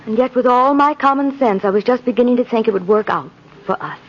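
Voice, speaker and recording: An actress playing a practical, caring sweetheart, earnest and gently reproving, in 1950s radio drama style. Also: female voice, on the high side, fast, a little hiss or hum, close to an everyday voice. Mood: hurt. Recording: dull old 1950s radio recording.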